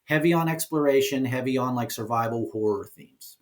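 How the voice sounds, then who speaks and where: A man talking casually as an interview guest, explaining his work in an informal, halting way. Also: mid-range voice. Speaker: guest, indie game developer and producer.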